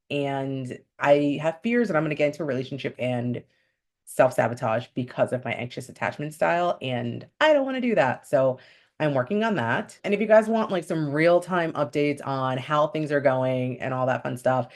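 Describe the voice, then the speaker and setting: A woman's voice: low-pitched, lively intonation, normal speed, darkish tone. A young woman from upstate New York, raised speaking Spanish first, chatting candidly and upbeat to camera in a vlog. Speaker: vlogger. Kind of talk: casual chat to camera.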